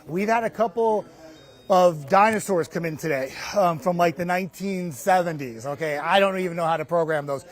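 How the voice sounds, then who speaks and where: A man's voice: high voice, moderate pace. A middle-aged man working as a TV meteorologist, explaining conversationally and emphatically in a live interview on a lapel mic. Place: inside a hardware store, live remote.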